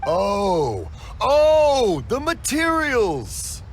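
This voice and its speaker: A man's voice: high voice, wildly swooping pitch, slight background noise, heavily acted. An actor playing a cheerful, rambling, hard-of-hearing elderly father in a video game. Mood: cheerful, oblivious. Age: older. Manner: rambling, slow-dawning, jovial storyteller. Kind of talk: English video game voice acting.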